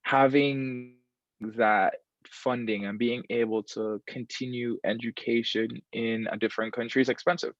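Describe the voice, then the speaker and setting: A man's voice: medium pitch, steady tone, measured pace, no echo. A man in his twenties from Guyana, talking casually as an interview guest, repeating himself with many fillers. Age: 20s. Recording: dull lo-fi sound.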